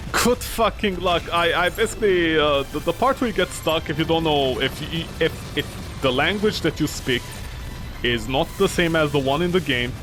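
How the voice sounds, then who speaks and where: A man streaming a game, talking casually in a rambling, swearing way, jumping from loud outbursts to low mumbles; English is not his first language. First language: not English; grew up with French, Italian. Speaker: gaming streamer. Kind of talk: casual let's-play commentary. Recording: game music loud behind.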